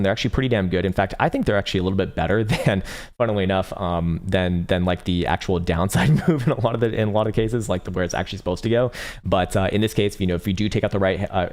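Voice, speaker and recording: A tired man with a raspy voice hosting a casual livestream, rambling and profane, with lots of fillers while he reads viewer chat aloud. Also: deep voice, darkish tone. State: tired, short on sleep. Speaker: crypto livestream host. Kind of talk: casual livestream chart analysis.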